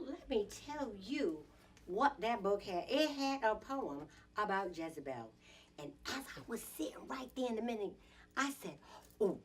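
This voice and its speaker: A woman's voice: mid-range voice, close to an everyday voice. A woman performing an improvised dramatic monologue as an indignant, sassy businesswoman confiding in a girlfriend, with theatrical emphasis. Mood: defiant, sassy.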